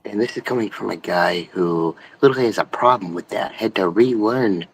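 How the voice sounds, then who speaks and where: Young man, very deep voice, earnest, rambling, swears a lot. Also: a little fast, vocal fry, a little hiss or hum, rough recording. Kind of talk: casual late-night stream chat.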